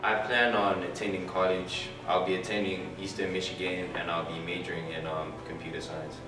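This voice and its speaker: A young man from South Africa, a high school senior, answering in an informal interview. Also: level tone, normal speed. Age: young adult. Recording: roomy, far from mic, music behind.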